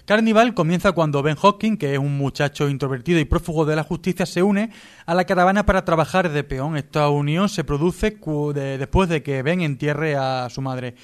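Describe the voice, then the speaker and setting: A man's voice: fairly high pitch, moderate pace. A man from Spain with a Spanish accent giving a casual, jokey radio review, partly read out and sometimes stumbling. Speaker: radio show contributor reviewing TV series.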